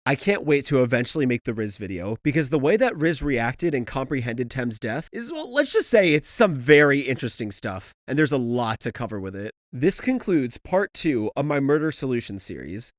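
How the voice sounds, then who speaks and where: Animated man narrating a video essay, dry sound. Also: mid-range voice, no room sound. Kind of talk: scripted analysis narration with casual asides.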